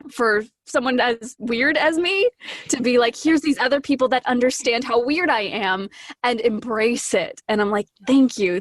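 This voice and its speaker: A woman's voice: a little high, no room sound. A woman guest on a podcast chatting casually and effusively, theatrically acting out little quotes and repeating words for emphasis. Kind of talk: casual chat, telling anecdotes.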